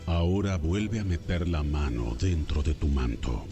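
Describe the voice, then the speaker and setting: A man's voice: very deep voice, lively intonation, measured pace, music in the background. A man playing God as a stern, authoritative deity in a solemn, commanding dramatized Bible audio.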